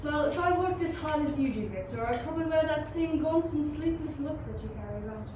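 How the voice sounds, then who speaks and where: In a very echoey, noisy recording, a young woman plays a flippant young student in a radio drama. The voice is high and the delivery wry and teasing. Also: expressive, fast, recorded from a distance. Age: young adult. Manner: wry, bantering. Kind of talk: amateur radio play acting.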